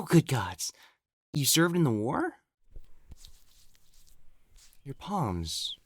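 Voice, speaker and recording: A man acting in a scripted audio roleplay as an elegant, pompous, easily exasperated young matchmaker of ancient China, speaking in formal, old-fashioned phrases. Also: medium pitch. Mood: astonished.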